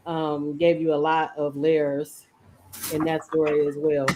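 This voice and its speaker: A woman's voice: deep voice, monotone, a little slow, narrow, tinny audio, background noise. Female book show host, casual and chatty, conversational with the audience. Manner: chatty, addresses live audience by name. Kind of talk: casual book discussion chat.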